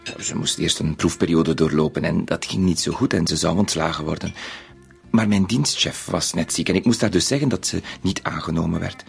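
An actor playing a timid, naive bank bookkeeper in a radio play, speaking politely and hesitantly with a Flemish accent. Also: male voice, low voice, brisk, gravelly, soft background music. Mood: earnest, confiding. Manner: hesitant, polite, self-effacing. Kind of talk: radio drama acting.

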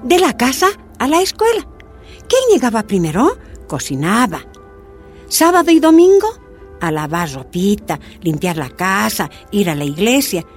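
A woman's voice: mid-range voice, wildly swooping pitch, measured pace, faint music behind. A woman playing a proud, plain-spoken Bolivian activist giving testimony in a radio drama, with a Bolivian accent and emphatic delivery. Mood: reminiscing. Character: proud Bolivian miner's daughter telling her life, indignant activist.

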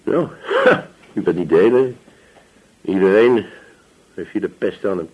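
A man's voice: deep voice, unhurried, a little mellow, slightly rough, poor audio. A man acting an obliging, gossipy village police sergeant in a radio drama, chatting deferentially with small hesitations. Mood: confiding.

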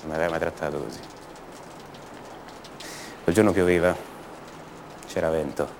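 An actor playing a passionate, rebellious young poet from a rich family in a radio theatre monologue, confiding and halting, repeating his words. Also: deep voice, fairly even intonation, noisy background. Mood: hushed, bitter.

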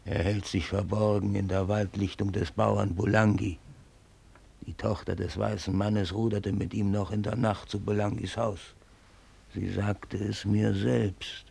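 A sly one-eyed servant in a radio play, reporting to his lord. The voice is low and heavily creaking, with a husky grain. Every sentence is laid out slowly and ceremoniously, like an old tale. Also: level tone, darkish tone, exaggerated voice. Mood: grave, conspiratorial. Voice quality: gravelly, husky. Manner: measured, ceremonious, archaic storytelling. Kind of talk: solemn German radio drama acting.

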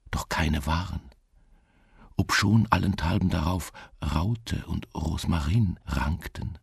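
Give the part to a lonely, dreaming wanderer in a gothic radio play. His voice is extremely low and gravelly, with a husky, hushed grain. He narrates slowly and deliberately, brooding over each phrase in a close, dry recording. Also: dry recording, exaggerated voice. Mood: somber, brooding. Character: solitary dreamy male wanderer recounting a melancholy gothic tale. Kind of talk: slow literary radio-drama narration.

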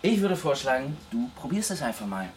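An actor playing an easygoing young lab researcher who deals on the side, talking casually in a naturalistic radio drama. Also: male voice, mid-range voice, big pitch swings, fairly quick, background noise, lightly acted. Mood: incredulous. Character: easygoing young male lab researcher dealing homemade speed. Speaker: research assistant.

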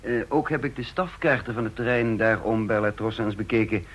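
An actor in a Dutch radio play voicing a methodical, brisk counterintelligence chief who explains deliberately, with small hesitations. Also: male voice, on the low side, breathy edge. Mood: explaining. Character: brisk, methodical male head of a counterintelligence service. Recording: muffled, dull radio play recording.

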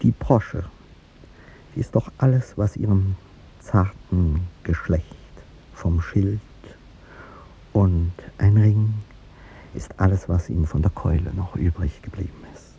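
A man's voice: takes it slowly, mellow. An actor playing an aging, courtly president in a 1950s radio drama, speaking formally and hesitantly as he gives up a beloved young woman. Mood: resigned, reproachful. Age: older. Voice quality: deep, gravelly. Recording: muffled vintage radio recording, rumbling hiss.

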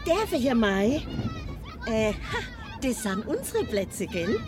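A woman's voice: a little high, very animated, takes it slowly. An actress playing a bossy provincial mother on a train in a radio drama, with a southern German accent. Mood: insistent. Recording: noisy train carriage ambience with people.

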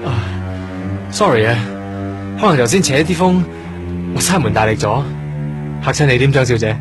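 A man with a Hong Kong accent playing an earnest, curious young trainee doctor in a radio drama, explaining things in a measured way. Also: mid-range voice, lively intonation, music in the background, close to an everyday voice. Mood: businesslike, polite. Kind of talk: Cantonese radio drama acting, explanatory dialogue.

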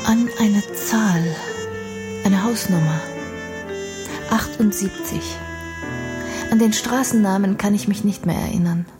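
A woman's voice: medium pitch, slow, long pauses, over music, natural voice. An actress playing an intelligent, outspoken young woman in a radio play, speaking in an articulate, reflective and earnest way. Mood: matter-of-fact.

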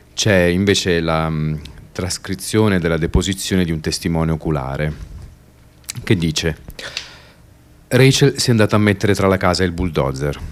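Guy with a low voice narrating on radio theatre, sober measured reading, takes it deliberately.

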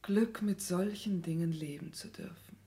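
An actress playing an earnest, proud young countess and dutiful daughter in a 1960s German radio drama, with formal, often hushed delivery. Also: female voice, on the low side, measured pace. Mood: hushed, wondering.

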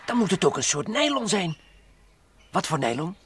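An actor playing a bossy, admonishing space adventurer who lectures and grumbles in a children's radio play. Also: male voice, high-pitched, sing-song, normal speed, a bit bright, a little hiss or hum. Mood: cautioning. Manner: didactic, admonishing.

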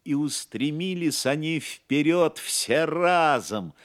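A man's voice: fairly high pitch, expressive, slow pace. A man narrating a legend as a dramatic scripted story for an audio play.

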